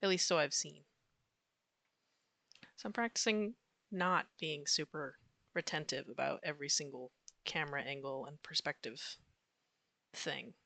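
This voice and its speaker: A hoarse young woman rambling casually about her comic work on a live stream, self-deprecating and full of fillers. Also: deep voice, a little slow, pauses often, pushed and bright, dry recording. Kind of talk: casual rambling while drawing.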